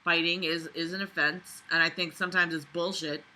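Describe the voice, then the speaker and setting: Sweary, sharp bright-voiced woman. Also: on the low side, fairly even intonation, a little fast, a little hiss or hum, rough recording. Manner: sweary, sarcastic, indignant asides. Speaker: vlogger reading and critiquing a novel. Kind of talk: book reading with exasperated running commentary.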